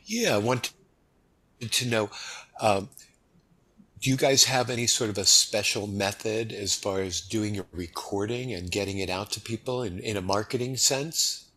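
A man's voice: fairly low pitch, normal speed. A middle-aged American man chatting easily as a guest in a casual interview. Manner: easygoing, relaxed, chatty. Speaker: podcast guest.

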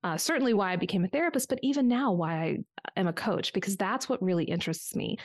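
A middle-aged American woman telling her personal story reflectively in an interview, articulate and measured. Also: mid-range voice, lively intonation, dry recording, clean studio sound. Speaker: interview guest, coach and former therapist.

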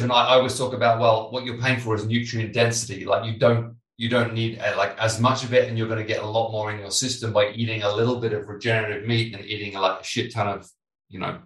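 Deep-voiced British host, roomy sound. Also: male voice, little pitch movement, quick pace, fairly bright tone. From Britain. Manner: casual, lots of fillers like "like" and "you know". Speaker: podcast host. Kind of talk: conversational interview. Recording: roomy, slightly distant mic.

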